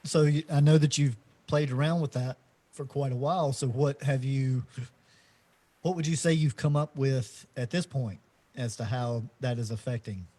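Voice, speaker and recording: A middle-aged man co-hosting a podcast, talking slowly and reflectively in a casual spiritual chat, with many fillers and restarts. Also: mid-range voice, warm tone. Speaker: podcast co-host.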